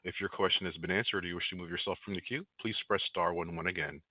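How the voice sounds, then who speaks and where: A man's voice: low voice, steady tone, fast, no room sound, muffled recording. A man working as a conference call operator, giving scripted instructions in a formal, routine way.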